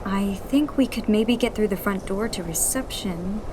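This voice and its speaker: A woman's voice: medium pitch, normal speed, background noise, lightly acted. A woman playing a determined young rebel engineer in a sci-fi audio drama, earnest and taking charge as she explains the plan. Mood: focused. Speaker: rebel leader. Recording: loud music over the voice.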